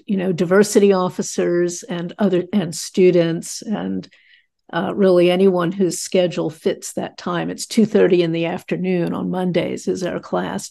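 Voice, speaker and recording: Woman, instructor, measured, fillers, gravelly, flat. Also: lowish voice. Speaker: course instructor. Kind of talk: casual workshop remarks.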